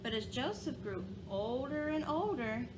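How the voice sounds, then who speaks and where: A woman teacher reading a storybook aloud in a sing-song way, pausing to ask children questions. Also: high voice, expressive, noisy background.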